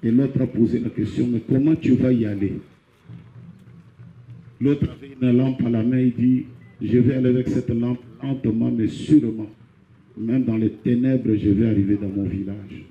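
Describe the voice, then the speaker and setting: A middle-aged Congolese pastor preaching a funeral sermon, telling a parable and lifting his pitch on key phrases. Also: steady tone, moderate pace, roomy sound, phone-quality sound. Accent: Congolese French.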